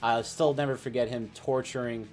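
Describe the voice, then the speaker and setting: Guy hosting a sports debate show, regular pitch, bit of music behind him. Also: steady tone, moderate pace, darkish tone. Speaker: host of a sports panel show.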